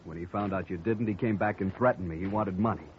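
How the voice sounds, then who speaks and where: A man's voice: low voice, fast talker, close to an everyday voice. An actor playing a nervous male schemer in a 1950s radio drama, talking earnestly and pleading. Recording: dull old radio broadcast, faint hiss.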